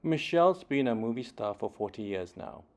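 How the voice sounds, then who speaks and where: A man reading a children's story aloud expressively, with deliberate phrasing and dramatic emphasis. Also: medium pitch, expressive. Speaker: children's book reader.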